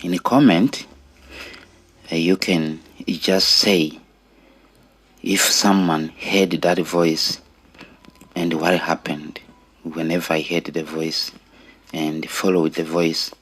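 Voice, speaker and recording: Man, forties, Rwandan accent, deep and unhurried, earnest testimony delivery. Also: level tone, a bit bright, breathy edge. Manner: earnest, deliberate, with pauses between short phrases. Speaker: storyteller sharing own life story. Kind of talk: personal testimony.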